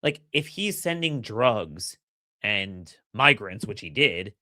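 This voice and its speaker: Bright, dry-voiced man, slightly hoarse. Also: on the low side, slow, no room sound. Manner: energetic, opinionated, repeats words when starting sentences. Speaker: podcast host. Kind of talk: solo political commentary.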